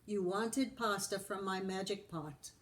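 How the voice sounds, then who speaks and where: A woman's voice: mid-range voice, close to an everyday voice. Woman as firm, wise old village witch. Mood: stern. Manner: measured, firm.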